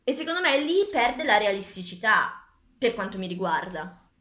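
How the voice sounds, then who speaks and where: A woman's voice: mid-range voice, very animated, moderate pace, pushed and bright. A woman in her twenties casually debating as a reviewer, picked up by a camera across a table in a small kitchen. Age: 20s. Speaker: book reviewer. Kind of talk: casual discussion of a graphic novel. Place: small tiled kitchen, indoors. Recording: echoey room, camera at a distance.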